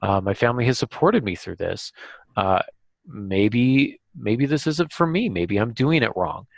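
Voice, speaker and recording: A man hosting a podcast, talking reflectively in a halting, thoughtful way. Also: medium pitch, expressive, gravelly, dry recording. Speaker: podcast host and author.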